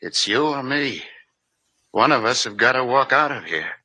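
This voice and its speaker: An actor playing a menacing, terse adversary in an old-time radio drama. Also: male voice, mid-range voice, expressive, normal speed, bright, forceful tone, no echo, low-quality recording. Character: menacing male adversary in a deadly showdown. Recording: old radio show transcription.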